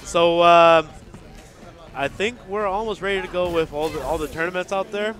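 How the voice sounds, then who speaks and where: A man's voice: high voice, moderate pace, fairly bright tone. A man with a slightly nasal, under-the-weather voice, hosting a casual livestream interview in an informal, affirming way. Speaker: event host interviewing a developer. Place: gaming event venue. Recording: music behind the voice. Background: music.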